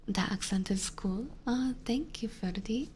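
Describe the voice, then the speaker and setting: A young woman streamer whispering softly as she reads out and answers her chat, breaking into quiet laughs. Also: mid-range voice, measured pace, a little hiss or hum. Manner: whispering, with frequent soft laughs. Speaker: live streamer reading chat. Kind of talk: soft-spoken whispered chat with viewers.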